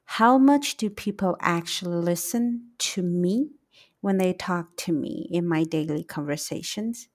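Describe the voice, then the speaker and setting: A middle-aged woman with a Taiwanese accent hosting a solo reflective podcast, speaking in a deliberate, emphatic way. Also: on the low side. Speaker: podcast host, English teacher.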